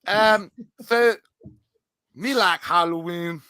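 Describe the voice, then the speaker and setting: A man's voice: high voice, pushed and bright, clean tone, dry recording, voice pushed up, full character voice. A man doing a simple-minded broken-grammar guy. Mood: goofy. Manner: broken grammar, slow and singsong.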